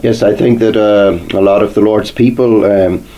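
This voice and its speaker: A man hosts a Sunday church program and interviews his guest at a slow, careful pace. His voice sits low. It has a mellow, dark tone. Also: fairly even intonation, dull audio, a little hiss or hum. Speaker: radio program host.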